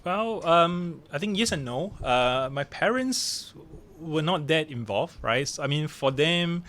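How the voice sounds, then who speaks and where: A Singaporean man in his forties, a professor guest telling his life story in a relaxed, self-deprecating way with many fillers. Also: fairly high pitch, measured pace. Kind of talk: casual podcast interview.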